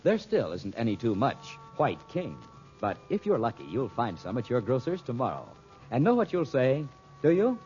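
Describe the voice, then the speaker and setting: Animated American old-time radio announcer, man. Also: mid-range voice, normal speed, faint music behind. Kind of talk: 1940s radio announcing, scripted ad and story recap. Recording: muffled old radio recording.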